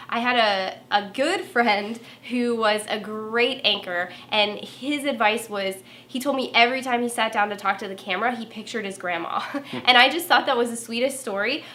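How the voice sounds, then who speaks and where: A young woman co-hosts a casual tips talk with a nasal voice and a hint of valley-girl lilt. Her tone is bright and a little high. She speaks easily and conversationally. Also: normal speed, hardly any pauses. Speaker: co-host, former TV reporter.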